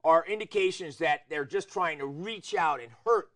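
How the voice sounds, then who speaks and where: A man's voice: a little high, normal speed, a bit bright. A middle-aged American man hosting a political talk show, giving his opinions in conversation.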